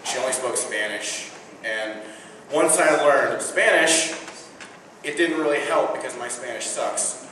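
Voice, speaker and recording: A man's voice: mid-range voice, unhurried. A teenage boy performing a self-deprecating stand-up routine with punchy delivery. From Indiana. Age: teens. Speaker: stand-up comedian. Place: large indoor venue with audience. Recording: echoey hall from far off with noise.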